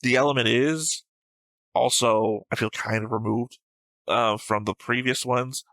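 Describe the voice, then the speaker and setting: A man's voice: medium pitch, fairly even intonation, measured pace, a bit bright, dry recording, poor audio. A man co-hosting a reaction show, talking through his opinions in casual, argumentative discussion. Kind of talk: casual discussion and episode commentary.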